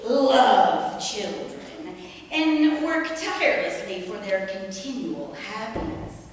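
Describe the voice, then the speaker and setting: A far-off, heavily reverberant stage recording of a woman playing a head librarian. She is a zealot with prim, official diction and a slow, measured delivery. Malicious muttered asides show how much she relishes cruelty. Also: mid-range voice, animated. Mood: prim, officious. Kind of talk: theatrical reading of a satirical letter. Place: live staged reading in a reverberant room. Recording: echoey hall from far off, faint noise.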